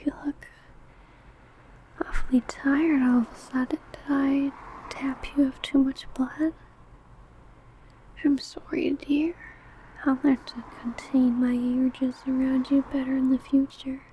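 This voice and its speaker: A woman's voice: high-pitched, flat delivery, unhurried, pauses often, warm tone, a little hiss or hum, rough recording. A woman playing an ancient, possessive, doting female vampire in a whispered, intimate ASMR roleplay, crooning breathily. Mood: tender, doting.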